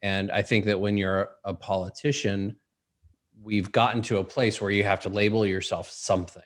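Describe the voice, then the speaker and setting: Low-voiced man hosting a chat. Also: flat delivery, moderate pace. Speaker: host. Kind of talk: casual conversational interview.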